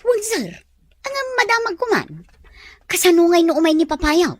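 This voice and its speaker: A woman acts as a household maid in an audio drama. Her voice is high and very animated, respectful but openly nosy and gossipy. The sound is dry. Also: moderate pace, no echo. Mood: cautious. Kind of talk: Ilocano radio-style drama acting.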